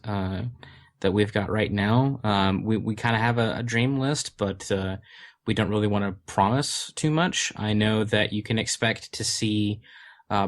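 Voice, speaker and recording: A man with a lowish voice talks through his answers in a casual interview. He pauses often and stacks up fillers and restarts as he explains. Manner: hesitant, many fillers and restarts. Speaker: game studio community manager.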